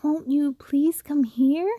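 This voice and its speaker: Lady reading as a sweet eager 10 yr old storybook girl, high voice, slow and full of wonder. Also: dark tone, no room sound, clean studio sound. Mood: gentle, inviting. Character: gentle, eager ten-year-old girl, dreamy storybook heroine.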